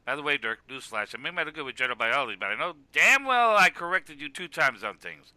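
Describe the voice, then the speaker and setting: An exasperated cartoon wrestler played by a man who scolds and mocks. His voice is very bright and forceful, fairly high, with big swings in pitch. He delivers his sarcasm emphatically, like the self-styled straight man. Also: sing-song, quick pace, dry recording, exaggerated voice. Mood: indignant. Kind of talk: scripted comic argument in web animation.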